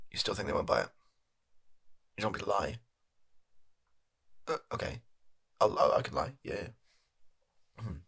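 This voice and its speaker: A young British man playing a shameless, evasive energy company boss in an improvised comedy monologue, speaking softly with a halting, deadpan manner. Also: lowish voice, creaky, breathy edge, heavily acted. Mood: flustered. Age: young adult. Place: small home bedroom studio.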